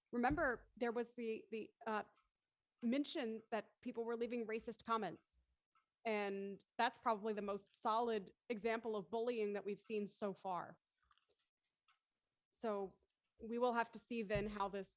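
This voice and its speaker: A woman's voice: a little high, dry recording. A woman hosting an online panel discussion in an effusive, polite way. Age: adult. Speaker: host. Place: indoors on a group video call.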